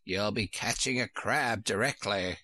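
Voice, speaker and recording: A man's voice: on the low side, unhurried, sharp tone, dry recording. A man voicing a cross, bossy old female sheep from a storybook, curt and scolding. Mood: angry. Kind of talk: storybook character voice.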